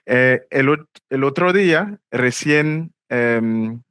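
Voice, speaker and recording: A man's voice: medium pitch, lively intonation, takes it slowly, fairly bright tone, clear, resonant tone, no echo. A man hosting a podcast interview, asking questions hesitantly with many fillers and repeated words. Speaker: podcast host. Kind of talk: casual interview conversation.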